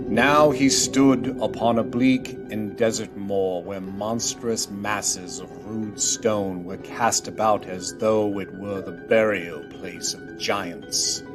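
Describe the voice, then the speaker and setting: A man narrating a story theatrically, as in a scripted radio play. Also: on the low side. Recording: music under the voice.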